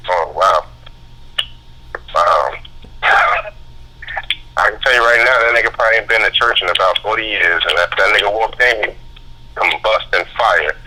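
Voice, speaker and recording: A man speaking as a guest on a talk radio show, heated and profane, telling his story fast and forcefully. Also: lowish voice. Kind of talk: angry call-in radio talk. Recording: phone line.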